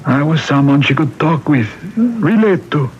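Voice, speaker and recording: Hissy, muffled vintage radio. parish priest, earnest and compassionate, fairly high male voice, measured delivery. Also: expressive. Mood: earnest, solemn. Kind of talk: 1970s radio drama acting.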